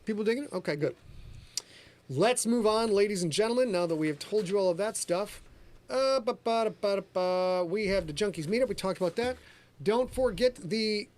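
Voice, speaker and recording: A man hosting a livestreamed podcast, reading his own fiction aloud and chatting in a quick, energetic, profane, joking way. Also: high-pitched. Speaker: author and podcast host. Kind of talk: livestream chat and story reading. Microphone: livestream camera setup.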